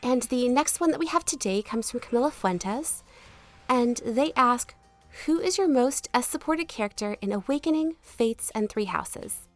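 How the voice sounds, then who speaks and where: Woman, slightly high voice, casual gaming video host, chatty and polite, voice worn from being sick, music behind. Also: animated, a little slow. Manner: chatty, laughs easily, polite. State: losing her voice after being sick. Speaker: gaming video creator answering viewer questions. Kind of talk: casual chat over gameplay. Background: background music.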